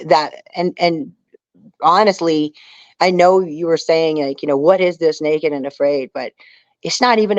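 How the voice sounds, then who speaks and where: A woman's voice: low-pitched, dry recording, highs cut off. A woman telling stories as an interview guest in a casual, chatty way, often adding "you know". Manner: chatty, animated retelling with frequent "you know". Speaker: reality TV survival contestant, interview guest. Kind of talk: casual conversational storytelling.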